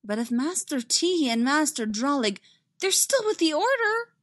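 Woman voicing an insistent, high-pitched young female Jedi apprentice. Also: sing-song, moderate pace. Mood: anxious protest. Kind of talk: dramatic audiobook character dialogue.

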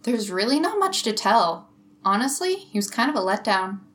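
A woman's voice: medium pitch, sing-song, moderate pace, a bit bright. A woman playing a sensible, skeptical female police detective with a dry, matter-of-fact delivery.

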